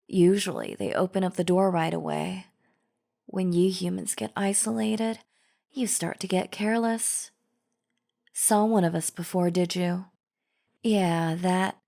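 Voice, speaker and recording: A woman's voice: lowish voice, vocal fry, warm tone. A woman playing a chatty female zombie in an audio roleplay, casually coaxing the listener with false friendliness and a sly, wheedling drawl. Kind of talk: scripted audio roleplay acting, addressing the listener.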